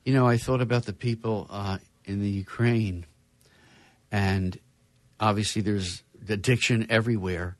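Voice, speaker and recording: A man's voice: on the low side, steady tone, measured pace, dry recording. A man hosting a casual chat show, talking loosely and reading news stories aloud with wry asides. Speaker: podcast host.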